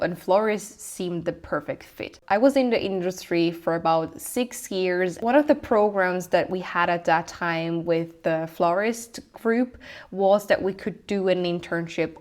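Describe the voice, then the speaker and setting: A young woman from Switzerland with a Swiss German accent, a lifestyle vlogger calmly introducing herself in gentle, reflective speech with slightly non-native phrasing. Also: fairly low pitch. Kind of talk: scripted personal introduction.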